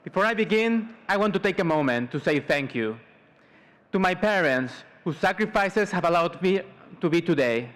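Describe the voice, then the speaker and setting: A man in his twenties with a fairly high-pitched voice delivers a prepared student address at a commencement. He grew up in Mexico and speaks formally, measuring out his words in short phrases. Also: animated, normal speed, fairly bright tone. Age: 20s. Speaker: student salutatorian.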